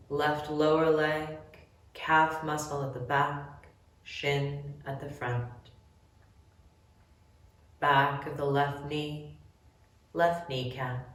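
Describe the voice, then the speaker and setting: A woman leading a guided relaxation meditation, giving gentle, measured instructions with long pauses between phrases. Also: sits low. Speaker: yoga nidra guide. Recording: very echoey room, distant mic.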